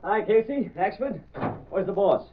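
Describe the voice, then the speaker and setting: An American actor playing a breezy, slangy newspaper reporter in vintage radio drama. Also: male voice, high-pitched, animated, unhurried, slight background noise. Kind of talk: 1930s-40s radio drama acting. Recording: tinny old radio recording.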